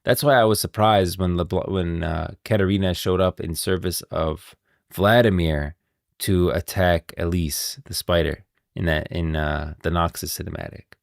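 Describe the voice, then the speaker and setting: Deep, gravelly male game lore streamer. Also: steady tone, moderate pace, no echo, clean studio sound. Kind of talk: casual video game lore commentary.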